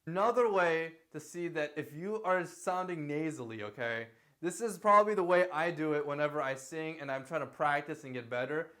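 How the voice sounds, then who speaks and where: Chatty male vocal coach with a higher voice. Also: moderate pace, hardly any pauses, a bit bright. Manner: loose, repetitive talk with many fillers and "okay?" checks; sings short lines to demonstrate. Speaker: singing teacher. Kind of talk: casual vocal lesson.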